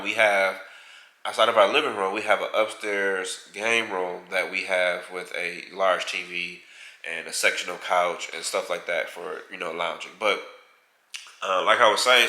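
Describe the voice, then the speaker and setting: A man's voice: sits low, bright, forceful tone. A man, nasal from a head cold, chatting casually and rambling through a tour of his home theater. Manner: rambling, self-correcting. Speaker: vlog co-host. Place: large home theater room, indoors. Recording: echoey room.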